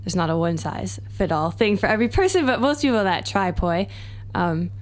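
Woman, American accent, young adult, easygoing interview guest, quick to laugh, slightly low pitch. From United States. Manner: laughs easily, relaxed and chatty. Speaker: guest researcher. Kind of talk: casual interview chat.

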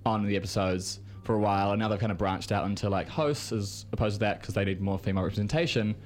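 A man's voice: fairly low pitch, fast talker, slight background noise. A man from New Zealand, a guest reviewer in a radio studio, chatting enthusiastically with lots of filler words. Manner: enthusiastic and rambling, many "like" fillers. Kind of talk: casual radio chat.

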